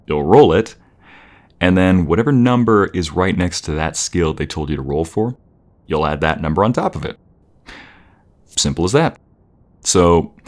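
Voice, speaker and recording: Very deep-voiced man, playful boyfriend roleplay. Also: a little mellow.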